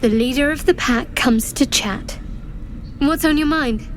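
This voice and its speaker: A guarded young adventurer woman from a fantasy game, has a slightly high voice. She talks in a dry, casual, understated way with wry reserve. Faint noise runs underneath. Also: measured pace. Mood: wry, softening.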